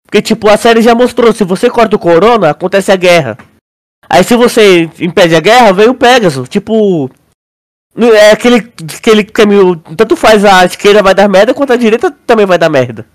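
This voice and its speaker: Male podcast co-host, young, Brazilian accent, high register, informal and slangy delivery. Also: brisk. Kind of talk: casual chat.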